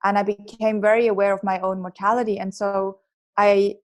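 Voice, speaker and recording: A woman's voice: medium pitch, little pitch movement. Woman with a strong Swiss accent. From Switzerland. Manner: open, storytelling, quick bursts with restarts. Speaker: guest, entrepreneur and author. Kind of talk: podcast interview.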